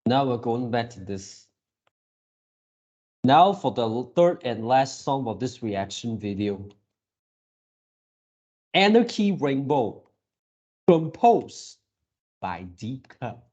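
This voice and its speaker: A man hosting a reaction video with casual, off-the-cuff commentary, speaking haltingly and repeating his words and phrases. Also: medium pitch, very animated, a little mellow.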